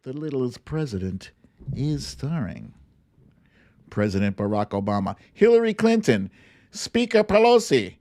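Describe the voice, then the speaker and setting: A man hosting an online show in a playful, animated, casual style with some swearing. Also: medium pitch, unhurried. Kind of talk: casual livestream chat, comic picture-book reading and political commentary.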